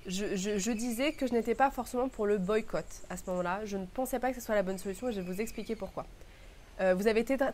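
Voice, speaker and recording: A young woman with a cold talks to her viewers, her nose clearly stuffed up. She thinks out loud in an earnest way and stacks up words one after another. A faint hiss of background noise sits under her voice. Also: medium pitch. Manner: thoughtful, earnest, lists words in a row. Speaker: beauty vlogger. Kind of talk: casual reflective talk to camera.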